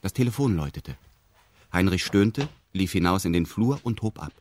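A man's voice: sits low, lively intonation, moderate pace, darkish tone, slight background noise. A male actor playing a detached first-person radio drama narrator with precise, stilted, bureaucratic phrasing. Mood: detached, formal.

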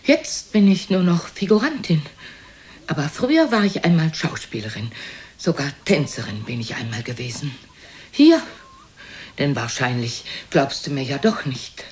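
A woman's voice: sits low, very animated, moderate pace, a little mellow. Scolding, wounded elderly mother. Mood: proud, insistent. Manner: scolding, accusatory, dramatic. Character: reproachful, proud, wounded elderly mother of Casanova. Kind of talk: German radio play acting.

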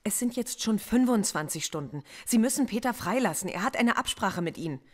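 An actress playing a philosophy professor under interrogation in a radio play, answering in short, cool, guarded replies. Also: female voice, mid-range voice, lively intonation, brisk, natural voice. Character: composed female philosophy professor, stubborn and proud. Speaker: suspect in interrogation.

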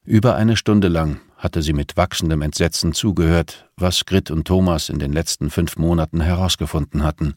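A man's voice: low-pitched, darkish tone, no room sound, clean studio sound. A man reading scripted thriller narration as an audiobook narrator.

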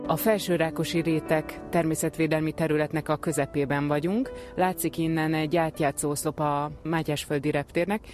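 A woman's voice: low-pitched, fairly even intonation, hardly any pauses, a little mellow, faint music behind. Woman reporting from the field. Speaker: radio reporter, interviewer. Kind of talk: descriptive field reporting and questions. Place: outdoors in a wetland reserve.

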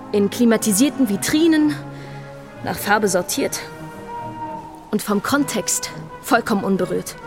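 A woman's voice: medium pitch, natural voice. A woman playing an ironic museum guide, presenting to an audience in a mock-earnest theatrical monologue. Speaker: stage performer. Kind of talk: theatrical monologue in a satirical revue.

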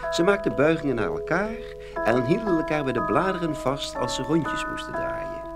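A man narrating a scripted children's fairy tale in an expressive, dramatic storytelling manner. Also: fairly high pitch, moderate pace, over loud music.

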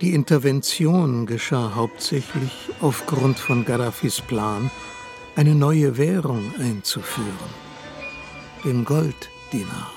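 A man narrating a radio play in a measured, deliberate way. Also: mid-range voice, creaky, over music. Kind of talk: scripted narration.

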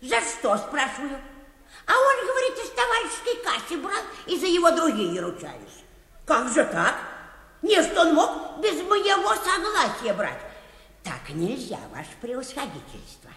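An actress playing a pestering, plaintive older petitioner in a comic radio play, whining and obsequious in an old-fashioned folksy way. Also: female voice, high-pitched, very animated, moderate pace, a bit bright. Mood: plaintive, insistent. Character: pestering, plaintive older petitioner woman, humble yet stubborn. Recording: echoey hall reverb.

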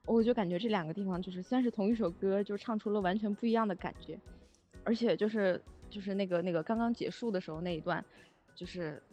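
Woman, mid-pitched, modest and hesitant casual talker, repeats words, faint music behind. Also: quick pace, mellow. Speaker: music arranger for an audio drama. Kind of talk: casual chat.

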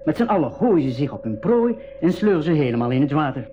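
Radio play casting: thirties explorer-biologist, fairly high male voice, polite and explanatory. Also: big pitch swings, fast, over music. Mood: grave, vivid. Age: 30s. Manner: polite, explanatory, slightly hesitant. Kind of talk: 1980s school radio drama acting. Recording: dull recording with clear background noise.

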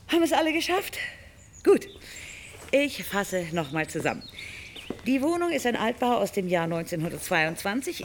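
An actor playing a brisk, businesslike letting agent in a radio drama, reciting with dry humour. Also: medium pitch, fairly bright tone, slight background noise. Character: brisk, wry letting agent showing a flat. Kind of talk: scripted radio drama dialogue.

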